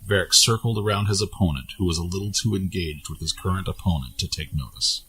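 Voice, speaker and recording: A man's voice: sits low, fast, pushed and bright, soft background music. A man reading scripted fantasy story narration as the narrator of an audio tale.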